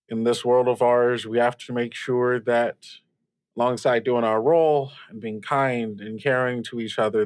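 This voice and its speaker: A man playing a halting, sincere radio host. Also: mid-range voice, lightly acted. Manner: halting, hesitant, earnest. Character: sincere, sentimental radio show host addressing faithful listeners.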